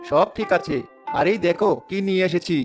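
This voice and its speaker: A man voicing a kindly, quick-witted village fisherman who reasons earnestly in an animated folk tale. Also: high voice, music in the background, close to an everyday voice. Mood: calm.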